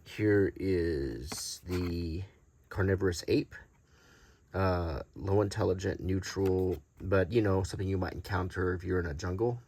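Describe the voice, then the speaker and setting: A man presenting collectible cards in a casual, unscripted show-and-tell, halting and pausing often as he describes things. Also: sits low, darkish tone, slightly rough. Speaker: host presenting vintage collectible game cards.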